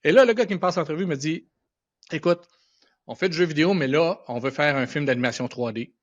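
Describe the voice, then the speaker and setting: A man's voice: fairly high pitch, brisk. A middle-aged man with a Quebec French accent tells anecdotes casually as an interview guest, using colloquial speech sprinkled with English words. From Quebec, Canada. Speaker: podcast guest, animation and visual effects professional. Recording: dull, band-limited podcast audio.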